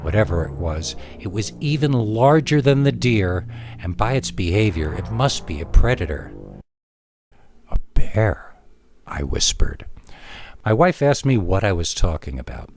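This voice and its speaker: A man reading a scary story in the first person as a dramatic narrator, with theatrical, emphatic delivery and deliberate pauses. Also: lowish voice, big pitch swings, a little mellow, faint music behind.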